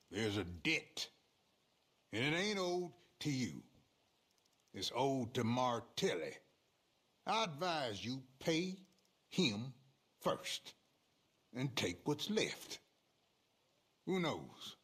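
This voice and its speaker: An actor playing a shady, folksy frontier fixer in a video game, with an American accent and a knowing drawl. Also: male voice, mid-range voice, big pitch swings, takes it slowly, pauses often, fairly bright tone, husky, full character voice. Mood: scheming, eager. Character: shady male frontier fixer, sly, folksy outlaw schemer. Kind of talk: video game cutscene acting.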